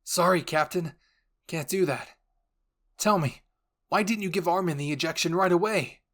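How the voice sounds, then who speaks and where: A man's voice: high voice, moderate pace, a bit bright, voice pushed up. A man voicing a desperate, tearful teenage boy soldier in an anime roleplay, pleading with urgent force. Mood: defiant.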